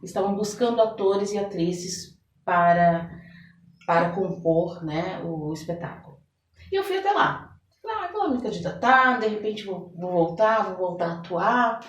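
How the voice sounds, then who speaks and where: Casting: middle-aged Brazilian woman, expressive interview guest, mid-pitched, recorded in an echoey room. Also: moderate pace. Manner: expressive storytelling, often seeking agreement with tags. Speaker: interview guest, therapist and theater director. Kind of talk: conversational interview answers. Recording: echoey room, distant microphone.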